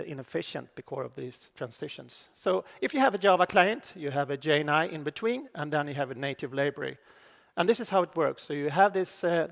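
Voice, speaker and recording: A middle-aged man with a Swedish accent giving a technical conference talk on stage, speaking haltingly with many fillers and restarts. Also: fairly high pitch, creaky. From Sweden. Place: large conference hall on stage. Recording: reverberant conference hall.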